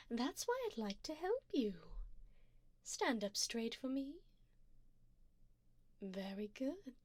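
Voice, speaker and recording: A woman plays an elegant, wealthy vampire lady who dotes on and quietly owns her listener. Her voice is fairly high, soft and breathy, often sinking toward a whisper. She takes her time over each formal, coaxing phrase, gently commanding in an intimate ASMR roleplay, very dry and close to the ear. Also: no echo, full character voice. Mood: doting, attentive. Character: wealthy, doting, possessive female vampire sugar mommy. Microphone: binaural 3Dio microphone, close up.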